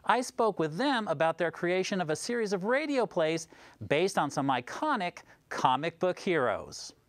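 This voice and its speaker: A man's voice: high-pitched, very animated, moderate pace, no room sound. A middle-aged American man presenting a scripted news feature.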